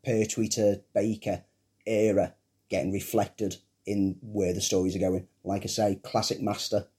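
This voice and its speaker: A man's voice: lowish voice, little pitch movement, a little slow, darkish tone, breathy edge. A man co-hosting a film podcast, talking as an enthusiastic fan. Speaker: podcast co-host. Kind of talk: casual chat.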